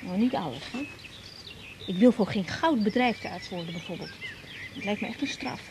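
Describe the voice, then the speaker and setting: An actress in a radio drama playing a reflective, tender young woman doctor who narrates her thoughts softly and intimately. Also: medium pitch, lively intonation, moderate pace, slight background noise, natural voice. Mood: earnest. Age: young adult. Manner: musing inner narration, soft and intimate. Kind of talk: 1980s radio drama acting.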